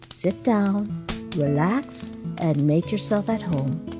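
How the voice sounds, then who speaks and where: A woman voicing a gentle, motherly monster queen in a comic dub, speaking with formal, measured politeness. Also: fairly low pitch. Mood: gentle concern. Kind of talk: comic dub character acting. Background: music.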